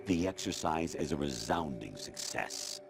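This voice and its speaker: A sinister, smug artificial intelligence with the voice of a commanding older man. It is extremely low and gravelly, drawing out its words with cold deliberation. Loud music plays behind this video game villain. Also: animated, a little slow, heavily acted. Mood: smug, clinical. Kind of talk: dramatic video game acting.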